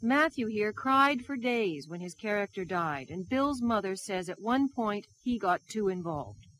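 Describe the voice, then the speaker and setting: A woman reporter delivers scripted documentary narration for television news. Her pitch sits in the middle range, and her delivery is measured and built like a dramatic story. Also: animated, a bit bright, a little hiss or hum, poor audio.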